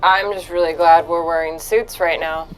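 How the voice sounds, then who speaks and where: Woman player with a slightly low voice, slangy wry quips, bit of music behind. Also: fairly even intonation, moderate pace, poor audio. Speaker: tabletop game player. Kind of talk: casual, slangy game-table chat.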